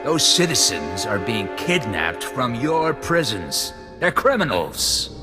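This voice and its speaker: An actor playing a hard-bitten, vengeful army colonel in a video game, with blunt, forceful phrasing. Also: male voice, mid-range voice, sing-song, slow. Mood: bitter. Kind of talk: video game cutscene dialogue. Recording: reverberant hall with music.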